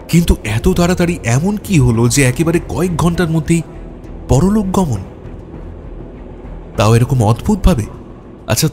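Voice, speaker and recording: A man's voice: mid-range voice, darkish tone. A man reading scripted narration for a detective audio story. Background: background music.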